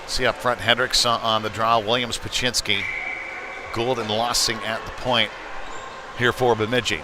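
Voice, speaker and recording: A man doing live play-by-play hockey commentary in a halting delivery with frequent fillers. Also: mid-range voice, flat delivery. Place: indoor ice rink. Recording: noisy live rink stream.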